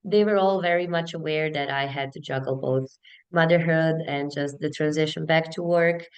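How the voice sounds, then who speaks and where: Female HR professional, 30s, Bosnian accent. Smooth, slightly low voice, conversational and earnest in a webinar interview. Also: fairly even intonation, normal speed. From Bosnia and Herzegovina. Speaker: HR business partner, webinar guest.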